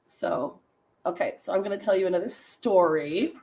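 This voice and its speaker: A middle-aged woman with a raspy voice hosting a casual live stream, chatting with viewers and reading trivia and short stories aloud. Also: fairly low pitch, moderate pace, dark tone. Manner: chatty, laughs often, reads facts and stories to viewers.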